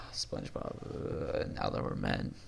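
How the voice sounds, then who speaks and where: A husky-voiced man reading a film script aloud, stage directions and dialogue alike, stumbling and correcting himself and breaking off into asides. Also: takes it slowly, slight background noise. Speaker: amateur reader doing a long script read-aloud.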